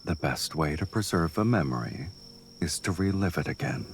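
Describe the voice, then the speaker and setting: Man playing a low-voiced, suave, teasing love interest. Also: animated, normal speed, a little hiss or hum. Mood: teasing, assured. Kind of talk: scripted dubbed game dialogue. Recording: music behind the voice.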